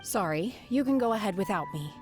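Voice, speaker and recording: An actress voicing a calm, lonely young woman who speaks quietly and reflectively in a game. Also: mid-range voice, a little fast, faint music behind, lightly acted. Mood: wistful. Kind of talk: game voice acting.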